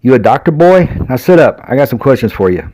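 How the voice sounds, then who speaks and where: A man doing a gruff, taunting old sheriff. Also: mid-range voice, big pitch swings, fast, dark tone, no echo, pitched above the performer's own voice, rough recording. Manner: taunting, accusing questions. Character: gruff older male small-town sheriff, menacing interrogator.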